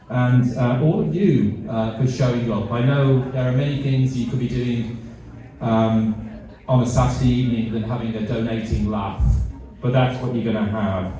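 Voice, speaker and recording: British guy giving a charity speech, kinda mellow, repeats himself, sounds far off in a big hall. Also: medium pitch. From Britain. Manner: halting, repeats words, self-deprecating. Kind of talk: fundraiser speech with light humour. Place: large event hall. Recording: echoey hall, mic heard from a distance.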